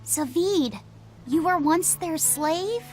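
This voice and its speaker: An actress playing an earnest, gentle young boy in a game. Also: extremely high pitch, expressive, takes it slowly, soft background music, exaggerated voice.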